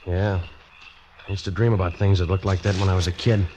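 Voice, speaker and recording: Man acting as low-voiced skeptical police inspector. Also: flat delivery, brisk, a little mellow, lightly acted. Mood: sober, curious. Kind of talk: 1940s radio drama acting. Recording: hissy, noisy vintage radio recording.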